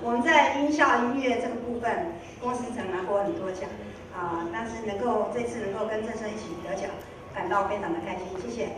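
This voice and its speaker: A woman's voice: mid-range voice, a little fast. A woman giving informal acceptance remarks as an award winner. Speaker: award winner from a sound production company. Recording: very echoey noisy hall, distant mic.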